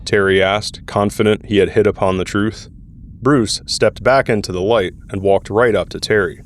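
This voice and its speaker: A man's voice: fairly low pitch, fairly even intonation, moderate pace, a little hiss or hum. A man reading scripted narration for an audio drama. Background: background music.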